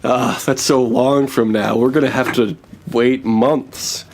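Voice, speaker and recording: Male podcast host, thirties, medium pitch, jokey and self-mocking, casual back-and-forth banter. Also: fairly even intonation, slow.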